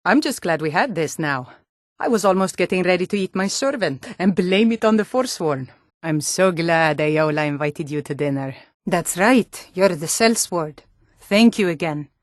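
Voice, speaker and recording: A woman's voice: on the low side, no echo, clean studio sound, close to an everyday voice. An actress voicing a hardy, proud Nordic townswoman in a video game, with a Nordic accent and a blunt, theatrical delivery. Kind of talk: video game character voice acting.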